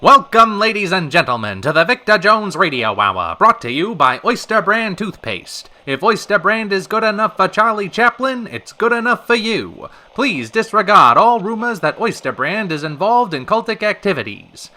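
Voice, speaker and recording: A man's voice: sits high, big pitch swings, moderate pace, few pauses, sharp tone, heavily acted. A man playing a showy, genial old-time radio show host with a theatrical, emphatic announcer's delivery. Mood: showy, announcing.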